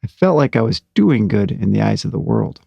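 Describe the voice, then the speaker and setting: A middle-aged man hosting a podcast, talking in a calm, unhurried way and reading aloud from his own blog post. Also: on the low side, gravelly, slightly rough, no room sound, dull audio. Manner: calm, slow and unhurried, with fillers. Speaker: podcast host.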